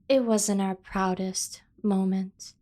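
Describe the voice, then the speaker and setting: A woman playing a doting, obsessive kidnapper sibling in an ASMR roleplay, coaxing and teasing with drawn-out emphasis. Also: mid-range voice, fairly even intonation, dark tone, close to an everyday voice. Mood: coaxing, playful. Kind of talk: scripted ASMR roleplay acting.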